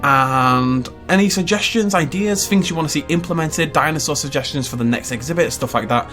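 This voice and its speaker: A man's voice: a little high, brisk, fairly bright tone, faint music behind. Chatty man playing a park game. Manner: chatty, laughs often, playful asides. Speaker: game channel host. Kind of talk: casual gameplay commentary.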